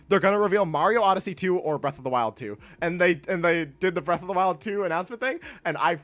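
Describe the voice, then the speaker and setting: Lively young man with a stuffy nose. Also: fairly high pitch, no room sound. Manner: rambling, self-interrupting, laughs often. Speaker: streamer. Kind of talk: casual livestream chat, reading and answering chat.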